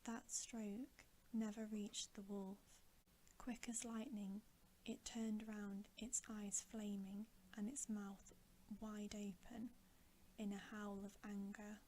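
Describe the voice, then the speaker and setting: Female ASMR reader: hushed, breathy whisper, unhurried and mellow, for a bedtime storybook. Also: medium pitch, little pitch movement, a little hiss or hum, low-quality recording. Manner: soft, slow, measured.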